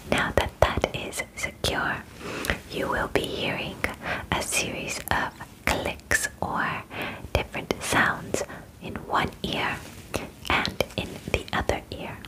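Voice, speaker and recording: A young woman voicing a gentle, clinical alien examiner in an ASMR roleplay, whispering softly and formally in a calm, reassuring way, close to the mic. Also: lightly acted, low-quality recording. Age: young adult. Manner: soft, slow, formal, soothing phrasing. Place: bedroom set, indoors. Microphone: close ASMR microphone.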